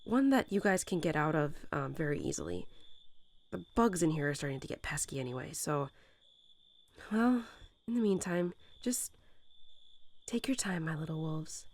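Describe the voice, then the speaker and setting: A woman's voice: low voice, sing-song, vocal fry, heavily acted. A woman playing a young mother wolf who talks tenderly and without hurry to her newborn pups, in the style of a bedtime roleplay audio. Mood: loving, reassuring. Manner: tender, unhurried, softly chuckling, hesitant informal phrasing. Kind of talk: soothing bedtime roleplay audio, intimate storytelling.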